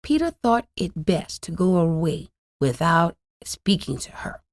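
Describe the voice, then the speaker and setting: Lady reading a kids story out loud, unhurried n expressive, super dry close recording, dramatic phrasing. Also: fairly low pitch, no echo. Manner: deliberate, dramatic phrasing for young listeners. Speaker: storyteller reading a children's book aloud. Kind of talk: expressive scripted storybook narration.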